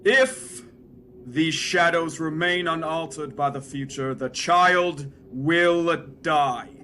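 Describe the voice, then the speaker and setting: A man's voice: a little high, takes it slowly, bright, forceful tone, a little hiss or hum. A man playing a solemn, foreboding male spirit in a theatrical radio play. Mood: grave, foreboding.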